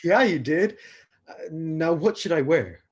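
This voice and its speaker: A man playing a stressed, awkward, lovestruck young god in a comic staged reading, halting, flustered and touchy. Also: fairly high pitch, lively intonation, husky, highs cut off. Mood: giddy.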